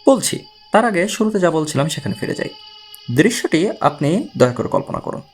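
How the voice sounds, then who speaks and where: A man voicing a patient, analytical male investigator in an audiobook story, explaining and questioning in a measured way. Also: fairly high pitch, big pitch swings, soft background music. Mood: explaining intently.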